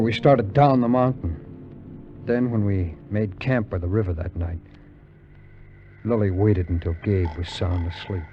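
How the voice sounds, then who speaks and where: Hard-boiled adventurer, old radio drama. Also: male voice, fairly low pitch, muffled recording. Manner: wry, slangy, tough-guy delivery. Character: Johnny, hard-boiled cynical adventurer telling his gold-hunting tale. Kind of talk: 1950s radio drama acting, first-person narration. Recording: vintage 1950s radio recording, music underneath.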